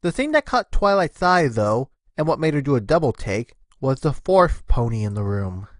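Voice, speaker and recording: A man's voice: medium pitch, big pitch swings, normal speed, dry recording. Male story narrator, dry close sound. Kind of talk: scripted storybook narration of cartoon fan fiction.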